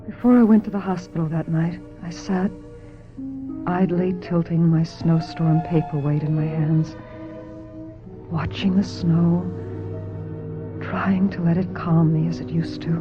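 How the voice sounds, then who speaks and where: A woman's voice: lowish voice, steady tone, measured pace, pauses often, natural voice. Wistful young actress in muffled radio drama, played by a woman. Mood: wistful, reflective. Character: weary, naive young actress narrating her troubled life. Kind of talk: dramatic radio mystery acting with first-person narration. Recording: dull lo-fi radio drama, music behind.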